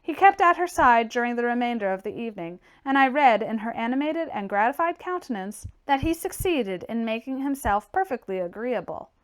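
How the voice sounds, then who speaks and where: A woman narrates a classic novel in the first person. Her voice is on the high side, with lively, expressive movement in pitch. The delivery is that of a scripted audiobook reading. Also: brisk, hardly any pauses. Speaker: audiobook reader.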